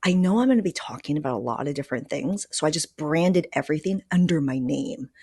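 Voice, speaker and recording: A woman's voice: low-pitched, expressive, fast talker, a little mellow, husky. A woman hosting a podcast, chatting casually and candidly with advice, often dropping in "like" and "you know.". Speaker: podcast host. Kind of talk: casual conversational advice chat.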